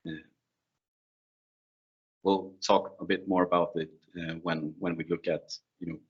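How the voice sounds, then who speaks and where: A man's voice: deep voice, flat delivery, measured pace, breathy edge. A man who is not a native English speaker, giving an informal technical presentation in a conversational, slightly hesitant way. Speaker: presenter, IT security consultant. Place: online video call screen share. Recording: dull, lo-fi online meeting audio.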